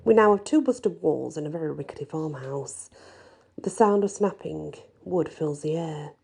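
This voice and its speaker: A woman narrating a first-person fantasy story in an expressive, deliberate storytelling style. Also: deep voice, vocal fry, husky. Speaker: story reader.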